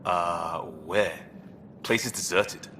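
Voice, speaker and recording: A man's voice: low voice, slow pace, sharp tone, a little hiss or hum, exaggerated voice. A man playing an easygoing, wry crewmate in a science fiction game, speaking casually and dryly. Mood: puzzled, dry. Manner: casual, dry. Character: easygoing, wry male crewmate of a space explorer. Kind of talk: naturalistic video game acting.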